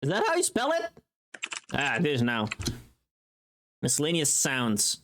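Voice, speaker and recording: This is a livestreamer in casual chat with viewers. The delivery is very animated, with pitch jumping up and down around a middle register. The talk is playful and teasing, with plenty of swearing. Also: a little slow, a little hiss or hum.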